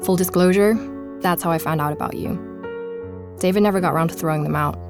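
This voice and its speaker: An actress playing a curious, intent woman journalist who narrates an investigative audio drama in a measured, confiding way. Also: fairly low pitch, music in the background, close to an everyday voice. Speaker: podcast host and narrator.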